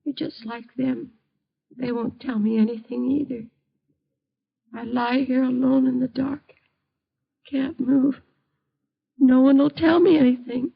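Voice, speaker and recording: An actress playing a frightened, lonely bedridden mother in 1950s radio drama, breathy and fragile, pleading bitterly with agitated repetition. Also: high-pitched, fairly even intonation, unhurried, long pauses, exaggerated voice. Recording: dull lo-fi old radio recording.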